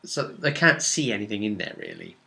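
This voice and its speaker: Bright-voiced man retelling a tabletop game. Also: fairly low pitch, huge pitch swings, a little fast, vocal fry. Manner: dramatic, rambling retelling with vivid action descriptions. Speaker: game master recapping a tabletop role-playing session. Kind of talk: casual animated storytelling.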